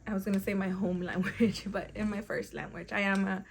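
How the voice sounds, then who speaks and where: A woman's voice: mid-range voice, fairly even intonation, fairly quick, gravelly, slight background noise. A young woman whose first language is Spanish, chatting casually to camera as a book vlogger, rambling and correcting herself as she goes.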